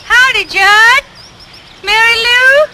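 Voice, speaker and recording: A woman's voice: extremely high pitch, slow, pushed and bright, slight background noise, full character voice. An actress playing a pushy, talkative rural peddler woman, on an old film soundtrack. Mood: bright. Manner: eager, talkative, insistent.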